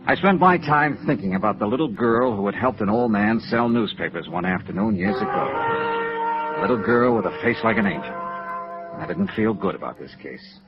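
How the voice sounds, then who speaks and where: Animated, world-weary American radio detective. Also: male voice, fairly low pitch, moderate pace, over loud music. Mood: brooding. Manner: clipped, matter-of-fact, pressing. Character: world-weary, persistent male insurance investigator narrating his case. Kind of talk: 1950s radio noir acting and narration. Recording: dull vintage radio recording.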